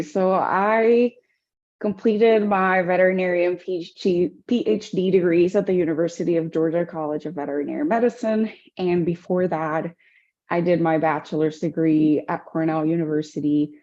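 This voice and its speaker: A woman from the Dominican Republic speaks as a podcast guest, her voice low and mellow. She talks in a reflective, warm way and laughs easily. The recording sounds dull and lo-fi. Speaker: guest, veterinarian. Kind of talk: interview.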